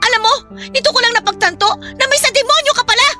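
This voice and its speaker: A woman's voice: extremely high pitch, fast, music in the background. A woman playing a bitter, middle-aged aunt who scolds and accuses emphatically in a radio drama. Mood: angry, accusing.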